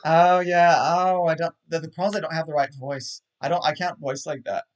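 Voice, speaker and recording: Man, slightly higher voice, self-deprecating, stammering restarts, casual stream chat. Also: steady tone, fairly quick, lo-fi sound. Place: home setup on a livestream call.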